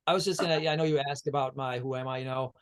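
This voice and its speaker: A man's voice: medium pitch, steady tone, very fast, smooth. A man from Denmark, a guest on a video-call interview, talking about energy healing in a meandering way with many fillers and restarts. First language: Danish.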